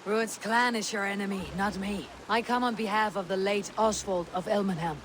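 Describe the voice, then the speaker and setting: A woman playing a stern, resolute Viking raider leader in a game, speaking in a blunt, measured, commanding way. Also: medium pitch, steady tone, background noise, close to an everyday voice. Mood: persuasive, firm.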